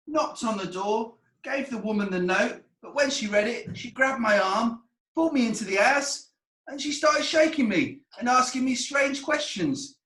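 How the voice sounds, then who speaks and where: A man's voice: very high-pitched, normal speed, bright, forceful tone, roomy sound, poor audio. A man playing a cheeky eight-year-old errand boy in a comic courtroom play, with a Yorkshire accent and a hesitant, deferential manner. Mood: nervous, deferential. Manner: hesitant, deferential, self-correcting.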